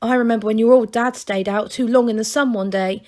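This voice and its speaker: A woman voicing a bossy, sharp-tongued old female gnome who scolds everyone. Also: on the high side, fairly even intonation, a little fast, pitched above the performer's own voice, close to an everyday voice. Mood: scolding. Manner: snappish, scolding.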